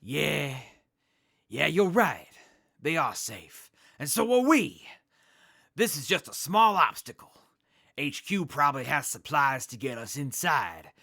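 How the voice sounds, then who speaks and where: Wasteland leader played by a man, hopeful, slangy, gravelly, animated, audio drama. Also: fairly high pitch, takes it slowly, no echo, clean studio sound, full character voice. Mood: resolute, hopeful. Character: Badger Boy, upbeat hopeful male wasteland survivor leader. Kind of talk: post-apocalyptic audio drama acting.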